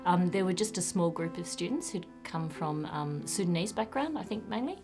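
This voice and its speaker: A woman's voice: lowish voice, lively intonation, moderate pace. A woman teacher speaking reflectively and a little hesitantly in an interview. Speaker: college teacher and program coordinator. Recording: guitar music behind the voice. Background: acoustic guitar music.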